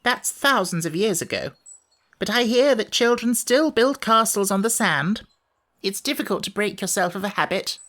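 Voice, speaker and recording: A woman's voice: mid-range voice, animated, moderate pace, a bit bright, pitched above the performer's own voice. A woman voicing a grumpy, ancient and fussy sand fairy creature for a children's audiobook, in a gloomy, peevish storyteller's way. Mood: reminiscing.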